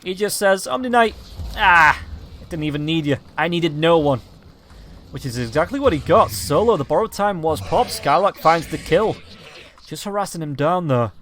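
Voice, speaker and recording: A slightly hoarse man calling a match in quick, excited play-by-play commentary. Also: fairly high pitch, a little hiss or hum. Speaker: esports caster.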